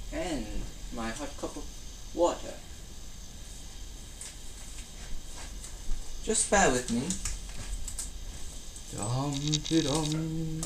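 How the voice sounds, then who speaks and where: A man voicing a cheerful, daft British inventor who loves cheese, in a gentle ASMR cartoon parody, speaking in an unhurried, pottering way. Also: on the high side, roomy sound, far from the mic, a little hiss or hum, full character voice, rough recording. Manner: gentle, unhurried, pottering storytelling with small hums and asides.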